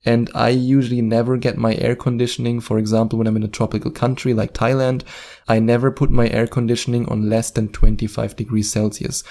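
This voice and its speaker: A man's voice: medium pitch, flat delivery, brisk, mellow. A young man with a German accent, speaking as host in an earnest, reassuring self-help talk about sleep.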